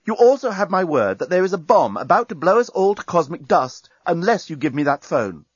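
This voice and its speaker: A man's voice: high voice, sing-song, brisk, slightly rough, no echo, lo-fi sound, pitched above the performer's own voice, full character voice. A man voicing a friendly, cool-headed young woman, polite and composed, in a comic audiobook. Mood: cool, urgent.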